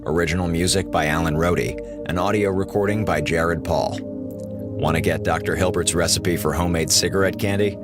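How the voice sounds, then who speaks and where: Deep-voiced man announcing over loud music. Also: steady tone, moderate pace. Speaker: credits announcer. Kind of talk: scripted radio credits announcement.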